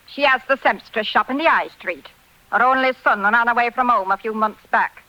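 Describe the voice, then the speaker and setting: Sharp, sour village innkeeper. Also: female voice, on the high side, normal speed. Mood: businesslike. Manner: sharp, disapproving. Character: sour, scolding village inn woman. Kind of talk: 1940s radio acting. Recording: dull 1940s radio recording.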